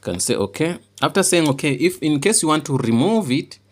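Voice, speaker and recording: A man giving an informal, unscripted how-to demonstration, halting and repeating himself as he explains each step. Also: a little high, lively intonation. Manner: halting and repetitive, often checking understanding. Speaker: tutorial presenter.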